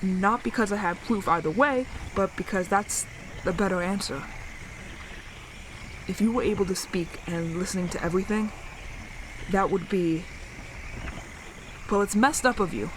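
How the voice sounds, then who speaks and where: Hesitant survivor on noisy radio. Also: female voice, medium pitch. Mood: firmer, insistent. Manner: hesitant, halting, earnest. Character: lonely, awkward apocalypse survivor calling out by radio. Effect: radio transmission. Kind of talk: scripted audio drama radio transmission. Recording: noisy radio transmission with static.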